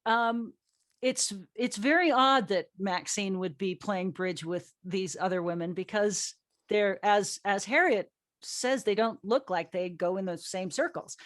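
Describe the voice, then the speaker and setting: A woman's voice: medium pitch, no room sound, clean studio sound. A woman speaking as an actress and host in a casual group discussion, chatty and given to anecdotes. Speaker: audio drama actress and panel host.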